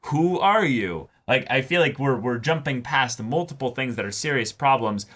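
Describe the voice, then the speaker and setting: Man, bright tone, casual anime reaction talk, lively, swears, acts out lines. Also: mid-range voice, normal speed. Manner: animated, swears freely, acts out characters' lines. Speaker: co-host of a reaction show. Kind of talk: casual discussion of an anime episode.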